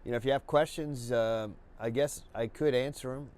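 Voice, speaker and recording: A man hosting a live painting webcast, congested and stuffy, talking in a slow, rambling way with many restarts and fillers. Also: medium pitch, steady tone, a little mellow, smooth. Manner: halting, many restarts and fillers, laid-back. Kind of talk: rambling live-stream chat.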